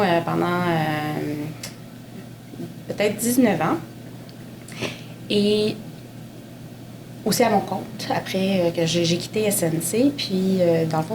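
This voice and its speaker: A woman's voice: mid-range voice, moderate pace, pauses often. A woman in her forties, a Portuguese speaker, telling her career story as a panel guest with many fillers and restarts. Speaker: panel guest, mining executive. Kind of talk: conversational interview. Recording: echoey room with background noise.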